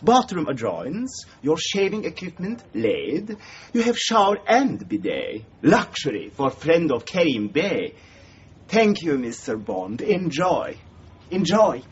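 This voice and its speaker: High, fawning hotel manager. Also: male voice, wildly swooping pitch, takes it slowly, breathy edge, highs cut off, exaggerated voice. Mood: ingratiating. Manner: servile, clipped broken English. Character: obsequious, fussy male Turkish hotel manager, fawning and insistent. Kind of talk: radio drama acting.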